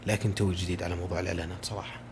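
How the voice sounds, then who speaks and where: A man talks in quick, eager bursts, his voice sitting very low. He is a voice-over artist answering questions as a guest in a relaxed podcast chat, with a faint hiss behind him. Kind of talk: casual conversation.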